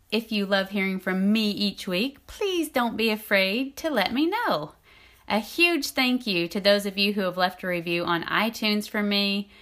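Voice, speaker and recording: A woman's voice: mid-range voice, a bit bright. A middle-aged woman with a soothing voice, hosting her own podcast as an intimacy coach in a friendly, chatty way. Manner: friendly, chatty, laughs lightly. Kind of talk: solo podcast talk, partly scripted.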